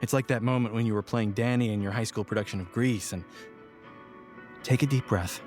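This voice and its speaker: Actor as nervous earnest male office worker, highish voice, theatrical speechmaking, audio drama, faint music behind. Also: lively intonation, normal speed, natural voice. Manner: theatrical, self-coaching.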